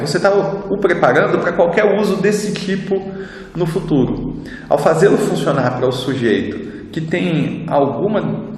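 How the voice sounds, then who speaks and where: A man with a Brazilian accent gives an informal explanatory lecture as an instructor, in a conversational way with frequent tag questions. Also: medium pitch. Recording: very echoey reverberant room, background noise.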